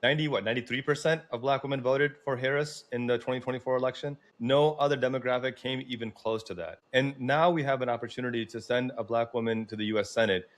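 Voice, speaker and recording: A man's voice: medium pitch, fairly even intonation, fast talker, hardly any pauses. An American man hosting a political interview, speaking in an emphatic, opinionated way as he advocates. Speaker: host.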